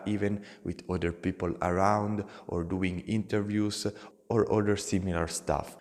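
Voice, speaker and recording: A man's voice: on the low side, darkish tone, in a large hall. A young man with an Italian accent, talking earnestly to camera as a motivational channel host, in simple non-native phrasing.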